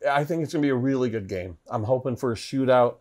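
Middle-aged guy cooking on a kitchen set, chatty football talk, mid pitch. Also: fast talker, darkish tone. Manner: chatty, upbeat sports talk. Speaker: cooking show host. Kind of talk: casual chat while cooking.

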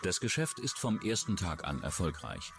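A man's voice: low voice, big pitch swings, moderate pace, creaky. A man reading scripted true-crime documentary narration in the role of narrator. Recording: music behind the voice. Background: loud background music.

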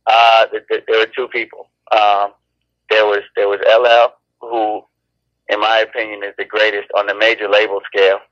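Laid-back rapper on a dull line. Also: male voice, lowish voice, level tone, normal speed, a bit bright, no room sound. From born in Chicago, raised in Los Angeles. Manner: laid-back, rambling with many restarts. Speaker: rapper, interview guest. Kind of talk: casual interview talk.

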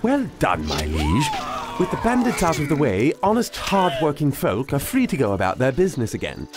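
A man's voice: fairly high pitch, huge pitch swings, normal speed, music in the background. An actor playing an earnest medieval steward in a video game, reporting to his lord in a deferential, theatrical manner. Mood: pleased, dutiful. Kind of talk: video game cutscene acting.

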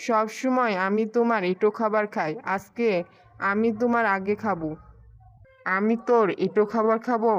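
A woman voicing a greedy, quarrelsome man-eating witch in an animated fairy tale. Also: fairly high pitch, normal speed, smooth, highs cut off, lightly acted. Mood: angry, quarrelsome. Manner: menacing, quarrelsome.

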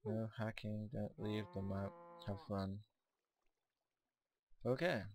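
A man with a hoarse, fading voice, chatting casually while playing a co-op game, often hesitant and stumbling over his words. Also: fairly low pitch, fairly even intonation, slow, darkish tone. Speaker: co-op game player. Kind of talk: casual gameplay chat.

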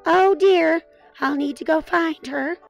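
A gentle storybook mother hen, played by a woman, speaks very high and unhurriedly. She coos and dotes, drawing out her words to encourage her little ones. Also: creaky, highs cut off, soft background music, heavily acted. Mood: worried. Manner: cooing, encouraging, drawn-out delivery. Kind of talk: children's storybook character voice.

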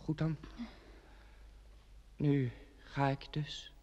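An actor playing a timid yet nobly self-sacrificing lover, halting and theatrical, in a radio play. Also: male voice, fairly high pitch, level tone, warm tone, muffled recording. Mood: solemn, resigned. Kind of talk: Dutch radio play acting.

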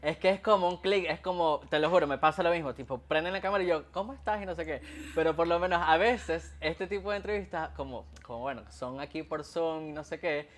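A man's voice: fairly high pitch, a little fast, few pauses. A Venezuelan man hosting a casual interview, chatty and playful, wearing headphones. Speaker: host. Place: home room. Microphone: headphones with a mic.

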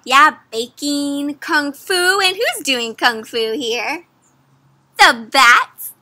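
A woman in her twenties reads aloud to young children in a high, very bright voice. Her delivery is animated and sing-song, and she keeps turning to her young listeners with questions as she goes. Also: drawn-out words. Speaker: children's storybook reader and host. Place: outdoors in a leafy yard.

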